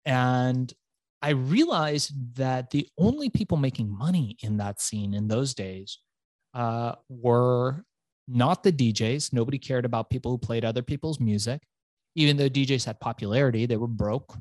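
A man from Iran, whose first language is Farsi, recounts his rough early years as a lively guest in an interview. His delivery is animated and peppered with swearing. The recording is dry and close. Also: medium pitch, moderate pace, no room sound. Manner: animated, profane storyteller. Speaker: entrepreneur guest. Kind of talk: storytelling interview.

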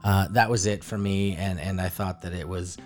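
A man's voice: sits low, steady tone, darkish tone, faint music behind. A man co-hosting a podcast, talking hesitantly about a movie he enjoyed. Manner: halting, hesitant, full of restarts. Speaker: podcast co-host. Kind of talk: casual movie review chat.

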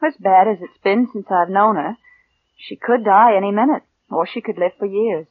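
An actress playing a plain, meek young niece with restrained, wistful delivery in mid-century radio drama. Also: female voice, mid-range voice, normal speed, phone-quality sound, natural voice. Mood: hesitant. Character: plain, meek young woman, lovelorn, quietly resentful niece. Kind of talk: 1940s-50s radio drama acting and first-person narration. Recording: band-limited old radio broadcast.

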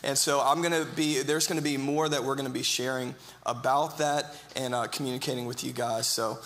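Earnest pastor man with bright voice. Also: mid-range voice, steady tone. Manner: earnest, halting, many fillers. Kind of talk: church announcements.

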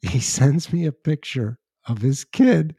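Raspy older man, dark and dry. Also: mid-range voice, lively intonation, slow, no room sound. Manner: rambling anecdotes with dry asides. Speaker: podcast co-host. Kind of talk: casual chat, storytelling.

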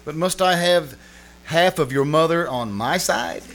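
Actor as exasperated, sarcastic radio-comedy husband. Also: male voice, a little high, animated, measured pace, a bit bright. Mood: grumbling sarcasm. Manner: wry, flustered, protesting. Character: exasperated, sarcastic husband feuding with his wife. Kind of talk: old-time radio comedy acting. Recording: live stage performance with clear noise.

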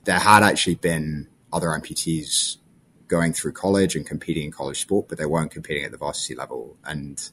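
A young man from London talks through his story in a friendly, thoughtful way, sometimes restarting a phrase. His voice sits very low in pitch. A faint hiss runs under the recording. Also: steady tone, a little fast, fairly bright tone. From London, England. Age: 20s. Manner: friendly and reflective, with small restarts. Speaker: guest. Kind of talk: casual interview answers.

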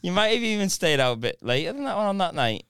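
A man cohosting a podcast, talking casually in quick bursts with plenty of laughter. His voice is smooth and clear. The sound is dry and close. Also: high voice, sing-song, a bit bright, dry recording. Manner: laughs often, talks in quick bursts with restarts. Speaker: podcast co-host.

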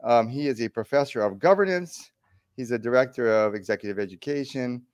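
Male host, animated, enthusiastic interviewer with an ordinary mid-range voice. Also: mid-range voice, brisk. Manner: enthusiastic, apologetic, eager questions. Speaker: podcast host. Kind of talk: interview hosting.

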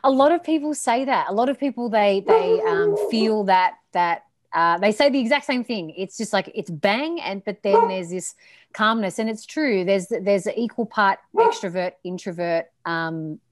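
A woman coach talking as a guest in a casual podcast chat, relaxed and chatty, laughing easily. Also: mid-range voice, normal speed, no echo, clean studio sound. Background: dog barking.

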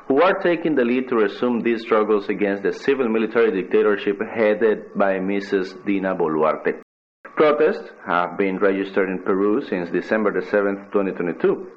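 Low-voiced male newsreader on muffled shortwave radio. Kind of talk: scripted news reading. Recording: dull lo-fi shortwave radio sound.

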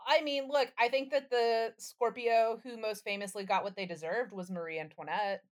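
A woman's voice: a little high, steady tone, normal speed. A woman co-hosting a podcast, chatting in a casual, comedic way with a playful, slangy manner. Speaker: podcast host.

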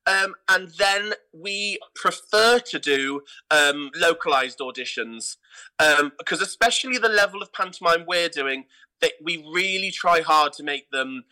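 A man's voice: on the high side, unhurried, bright, forceful tone, poor audio. A British man chatting enthusiastically and casually as a theatre director being interviewed on a podcast.

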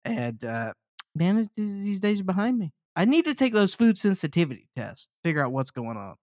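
A man's voice: high-pitched, big pitch swings, a little fast, a little mellow, no echo, clean studio sound. Teasing man in his thirties. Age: 30s. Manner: teasing, sarcastic ribbing. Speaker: podcast co-host. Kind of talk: casual podcast chat.

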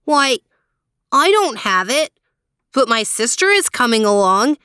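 A woman voicing a small, brave boy in a storybook reading, with a bright childlike delivery. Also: a little high, big pitch swings, a little slow, clean tone, no echo, clean studio sound, full character voice. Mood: eager.

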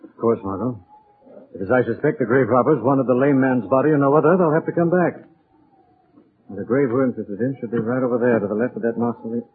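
An actor playing a suave, confident, dryly witty amateur sleuth in a 1940s radio drama. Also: male voice, mid-range voice, fast, husky. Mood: hushed, intent. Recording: muffled narrow-band 1940s radio recording.

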